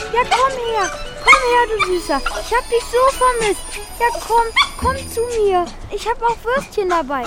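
High-pitched little kid, earnest n lonely but kind, german kids radio drama, music underneath. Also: female voice. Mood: delighted. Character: young child Mika, earnest, lonely, kind-hearted. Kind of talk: German children's radio play acting and narration.

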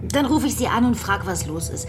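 A woman's voice: a little high, expressive, a little fast, soft background music, lightly acted. Insistent young woman played by an actress. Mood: dismissive, agitated. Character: privileged young granddaughter, insistent, impatient, in denial. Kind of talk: naturalistic German radio drama acting.

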